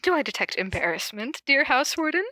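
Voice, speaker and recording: A woman's voice: sits high, big pitch swings, fairly quick, bright, forceful tone, no echo, pitched above the performer's own voice, heavily acted. A woman reading the part of a sly young man who is formally polite and teasing, full of mock innocence.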